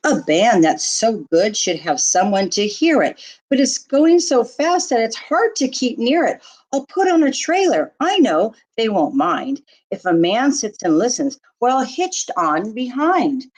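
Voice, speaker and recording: Animated woman reading a picture book aloud. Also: fairly high pitch. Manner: expressive, deliberate storytelling with short asides to listeners. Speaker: teacher reading aloud to children. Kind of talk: children's picture book read-aloud in rhyme.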